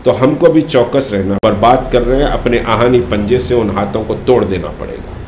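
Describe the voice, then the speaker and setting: Halting man in an echoey room. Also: mid-range voice, darkish tone. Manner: deliberate, halting with frequent pauses. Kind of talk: political statement to press, unscripted. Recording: roomy echo, faint background noise.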